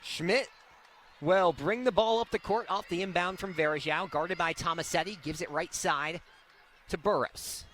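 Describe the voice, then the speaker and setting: A young man calling live basketball play-by-play as a radio announcer, with an excitable delivery that swells on big plays. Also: high voice, normal speed, a little hiss or hum. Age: young adult. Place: courtside at indoor basketball game.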